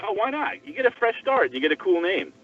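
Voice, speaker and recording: A man's voice: high-pitched, sing-song, fast, breathy edge, dry recording. An older man chatting casually and giving advice in a blunt, coaxing manner. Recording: tinny phone-like line, distant, music behind.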